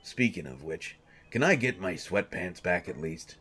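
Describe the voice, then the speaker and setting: A man playing a gruff, husky grumpy coach. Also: low voice, expressive, measured pace, a bit bright, full character voice. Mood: uneasy. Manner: grumbling, exasperated complaints. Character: grumpy male coach, irritable and defensive. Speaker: voice actor. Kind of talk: audio drama monologue.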